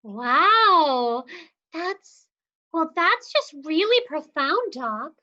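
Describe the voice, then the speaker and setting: A woman's voice: sits high, big pitch swings, drawn-out words, sharp tone, full character voice. An actress playing a defiant, sarcastic young woman held in a mental hospital. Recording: slightly dull lo-fi livestream audio.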